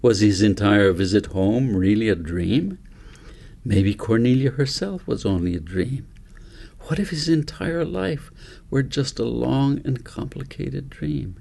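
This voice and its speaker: A man's voice: mid-range voice, expressive, measured pace, gravelly, mellow. A man narrating a story as an author reading his own book aloud.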